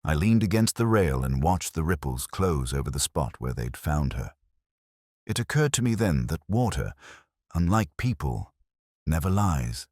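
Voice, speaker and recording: A brooding, bookish detective acted by a man narrates a crime drama in a very deep male voice. The delivery is measured and melancholy, yet the intonation moves widely within each thought. The sound is dry, with no room around it. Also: a little mellow, dry recording, exaggerated voice. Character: brooding, literary male police detective narrating his case. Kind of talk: slow scripted first-person mystery narration.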